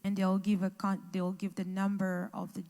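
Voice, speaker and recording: A woman interpreting consecutively into English, halting and restarting as she translates. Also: medium pitch, monotone, gravelly, dark tone. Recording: echoey hall.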